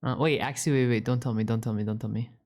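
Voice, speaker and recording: Woman voicing a low, mellow, rambling catboy roommate. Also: steady tone, rapid-fire. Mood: curious, chatty. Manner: rambling, stammering, repeats words. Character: playful, needy young catboy roommate, chatty and teasing. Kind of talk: ASMR roleplay, improvised casual chat.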